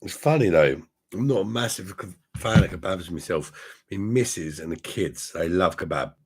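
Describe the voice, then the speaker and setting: A man hosting a live stream, chatting with his viewers in a rambling, crude way full of swearing, his voice hoarse because he is ill. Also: deep voice, animated, normal speed. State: hoarse, unwell. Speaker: livestream host reading chat. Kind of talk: casual crude drinking chat.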